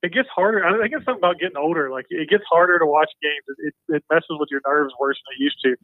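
A man in his forties in a casual sports chat, talking quickly and stammering with repeated words. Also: on the high side, lively intonation, dry recording. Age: 40s. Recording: tinny phone-like line.

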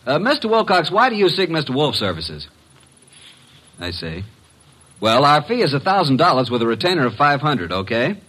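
An actor playing a brash, wisecracking detective's assistant and narrator in a vintage radio drama, breezy and slangy. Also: male voice, fairly high pitch, sing-song, normal speed, pushed and bright, slightly rough, exaggerated voice. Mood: businesslike. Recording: noisy vintage radio recording.

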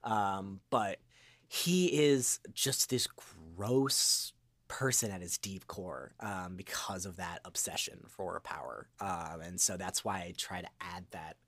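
Male podcast guest, voice actor type, low-pitched and rambling, casual delivery, close dry sound. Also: slow pace, a bit bright, dry recording.

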